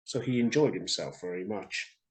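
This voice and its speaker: A man's voice: sits low, animated, normal speed. A man reading a story expressively for children, in a theatrical and friendly storyteller's manner.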